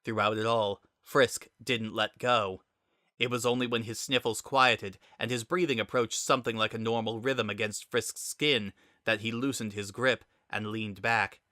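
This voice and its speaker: Audiobook narrator: crisp bright tone, medium pitch, close dry sound, dramatic scripted delivery. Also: fairly even intonation, moderate pace, dry recording.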